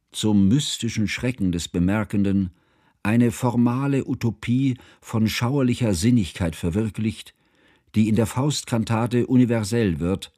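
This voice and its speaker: Mournful, learned older narrator for literary radio drama: formal, measured, lower-pitched, very dry sound. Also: male voice, a little mellow, dry recording. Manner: solemn, measured, ornate literary phrasing. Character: grieving, learned, formal older male narrator remembering his friend.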